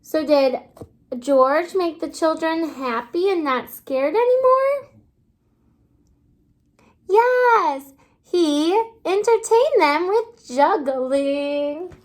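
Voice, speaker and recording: A woman kindergarten teacher reading a storybook aloud to young children in an exaggerated, child-directed way, pausing to ask simple questions. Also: sits high, lively intonation. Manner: asks simple questions, pausing as if listeners answer.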